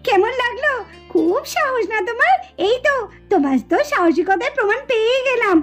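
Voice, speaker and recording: A woman's voice: very high voice, lively intonation, brisk, soft background music. A woman voicing a young doll sweetheart in a puppet drama, pleading, coy and teasing.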